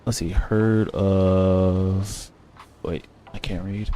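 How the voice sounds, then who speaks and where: A man's voice: sits low, steady tone, drawn-out words, warm tone, slight background noise. A man giving casual, rambling commentary as he plays a game, thinking aloud and correcting himself. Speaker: gaming streamer.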